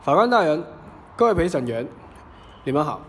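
Guy playing eager boastful property agent, radio play, highish voice, bit of background noise. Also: very animated, normal speed. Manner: boastful, salesman-like. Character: smug, eager male estate agent testifying in court. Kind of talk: scripted audio drama.

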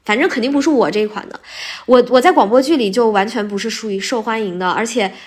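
A woman's voice: a little high, fast. A woman guest on a podcast, a voice actor, chatting casually in a candid, self-effacing way. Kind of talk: casual interview chat.

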